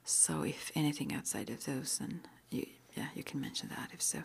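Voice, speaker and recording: A woman whispering an ASMR roleplay interview, playing a witch school interviewer with gentle, reassuring, hesitant phrasing. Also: low voice, lively intonation, moderate pace, gravelly, slightly rough.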